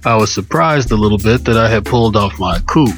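A man telling a first-person story in a wry, colloquial, measured way, as on a radio show. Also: fairly low pitch. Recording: music under the voice. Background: music bed.

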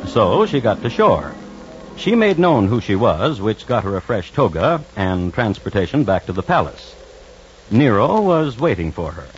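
A droll narrator of historical crime tales, with a fairly low voice that swings in pitch. He is wry and measured, in old radio style. The sound is dull and vintage, with music underneath. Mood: wry, deadpan. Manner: deadpan, wry, measured storytelling.

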